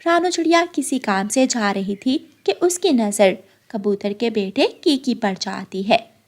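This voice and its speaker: A woman's voice: fairly high pitch, a little slow. A woman narrating a scripted moral story for children as the storyteller.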